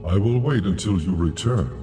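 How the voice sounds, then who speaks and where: A man playing God in a dramatized audio Bible, solemn, authoritative and measured. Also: low voice, expressive, dark tone, faint music behind. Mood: calm, commanding. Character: God, calm commanding divine male voice.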